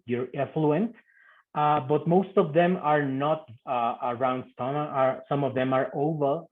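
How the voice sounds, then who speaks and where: A man with a Mexican Spanish accent presents a medical topic in English, his second language. He talks in halting phrases, stopping often on fillers and repeated words. His voice sits at an ordinary pitch and comes through a narrow, phone-like video call line. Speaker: guest speaker, physician.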